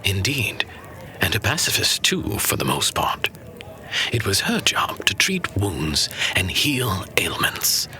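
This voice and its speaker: Male actor as fantasy storyteller: low, breathy, very bright edge, knowing, grave, dramatic. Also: huge pitch swings, takes it slowly, whispered, faint music behind, exaggerated voice. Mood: knowing, solemn. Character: solemn old-world male storyteller of legends, knowing. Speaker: narrator.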